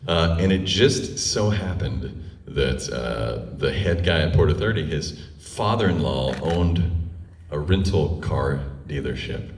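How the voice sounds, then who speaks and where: A low-pitched male storyteller speaks in a roomy, echoing space, picked up from some distance. He recounts a personal tale in a conversational, comic way. His telling is animated, with pauses for effect. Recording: roomy echo, distant microphone.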